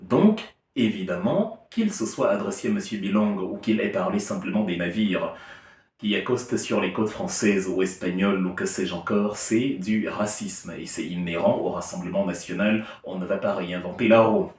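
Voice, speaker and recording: A man with a fairly low voice delivers an argumentative, emphatic commentary laced with irony. He sits some distance from the mic in a reverberant room, so his words carry a roomy echo. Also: normal speed, darkish tone. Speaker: political commentator. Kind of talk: opinion monologue to camera.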